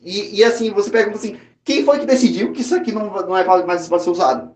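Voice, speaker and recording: A man's voice: sits high, quick pace, darkish tone. A Brazilian man with a Brazilian Portuguese accent, co-hosting a podcast in casual, slangy chat with many restarts. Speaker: podcast co-host. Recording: echoey room.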